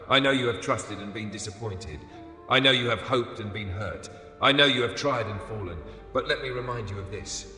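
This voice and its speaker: A man giving a scripted religious narration as the voice of God, solemn and soothing, in short deliberate phrases. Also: on the low side, bright, forceful tone. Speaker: devotional narrator speaking in the voice of God. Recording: echoey, long reverb over music. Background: music.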